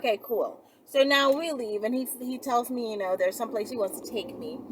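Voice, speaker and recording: A woman vlogging in a casual, chatty storytelling style, blunt and slangy, with animated asides. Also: on the high side, brisk, noisy background. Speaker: vlogger and channel host.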